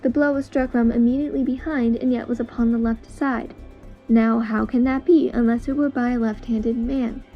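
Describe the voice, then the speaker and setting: A woman's voice: on the high side, fairly even intonation, normal speed, lightly acted. A woman playing a confident, precise, analytical detective in an amateur audio drama, explaining things in an assured way. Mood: assured, explanatory. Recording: dull lo-fi audio with music.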